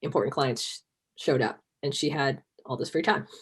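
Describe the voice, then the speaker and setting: Low, husky-voiced woman guest, native Cantonese speaker, relaxed chat. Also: moderate pace. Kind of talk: casual interview chat.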